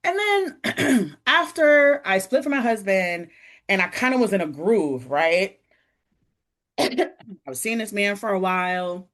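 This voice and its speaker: A woman's voice: medium pitch, unhurried. A woman in her forties, a podcast guest, telling a personal story in a casual chat and acting out what people said. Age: 40s. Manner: animated, acts out quoted voices.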